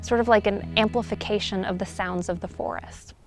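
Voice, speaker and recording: A woman's voice: medium pitch, fairly even intonation, brisk, faint music behind. A woman reporting and narrating in the style of a travel documentary.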